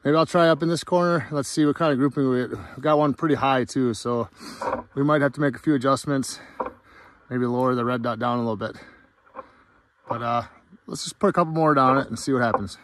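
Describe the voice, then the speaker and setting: A man's voice: medium pitch, fairly quick, a bit bright. A man hosting an outdoor vlog, chatting casually to the camera. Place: outdoors at a winter campsite. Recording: noisy outdoor camera audio.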